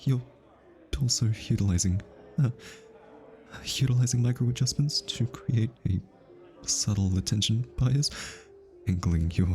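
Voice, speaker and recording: A man playing an easily flustered, lovestruck hitman in an intimate ASMR roleplay, halting and stammering as he nervously over-explains. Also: medium pitch, a little mellow, close to an everyday voice. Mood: nervous, analytical.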